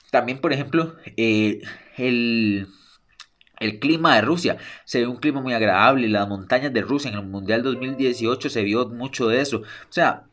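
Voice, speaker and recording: A man's voice: mid-range voice, a bit bright. Eager young man. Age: young adult. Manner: eager and emphatic, repeats phrases for stress. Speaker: podcast host. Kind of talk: solo sports podcast monologue.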